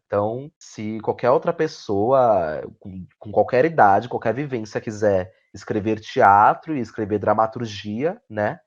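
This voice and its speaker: A man with a Brazilian accent gives reflective interview answers, searching for words, repeating phrases and often ending on tag questions. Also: mid-range voice. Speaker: guest playwright and actor. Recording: dull, lo-fi audio.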